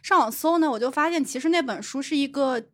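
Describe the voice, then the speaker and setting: A woman's voice: high-pitched, fast, fairly bright tone. A woman from the audience, handed a microphone, chatting about her reading with frequent laughs. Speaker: audience member interviewed on a live podcast. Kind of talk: casual chat.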